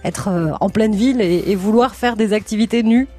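A woman hosting a radio call-in show, talking in a lively, chatty way and often jumping in with questions. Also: mid-range voice, soft background music. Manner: lively, chatty, quick to interject. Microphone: studio microphone.

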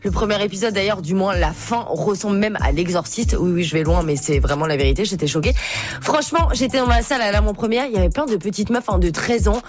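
A woman's voice: mid-range voice, expressive, quick pace. Chatty, slangy young woman over faint music. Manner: effusive, peppered with conversational tags. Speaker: radio culture columnist. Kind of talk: chatty, slangy entertainment review. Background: faint music bed.

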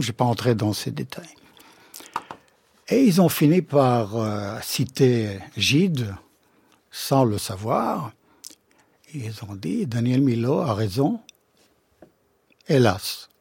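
A man's voice: vocal fry, slightly rough, no room sound. A middle-aged man, a philosopher and non-native speaker of the language, giving an ironic, emphatic explanation in a radio studio interview. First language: not French. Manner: expressive, ironic, emphatic with sudden pitch jumps. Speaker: philosopher guest.

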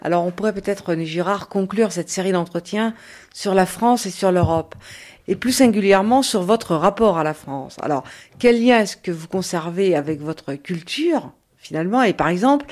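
A woman's voice: fairly low pitch, moderate pace, darkish tone. A woman interviewer on the radio, asking questions and prompting with short, curious interjections.

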